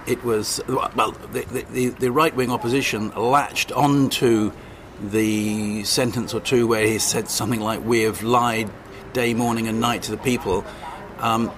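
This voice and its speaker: An older man, a longtime journalist, answering interview questions hesitantly, with many pauses and restarts and a wry edge. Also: medium pitch, fairly even intonation, fairly bright tone, a little hiss or hum. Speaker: journalist guest.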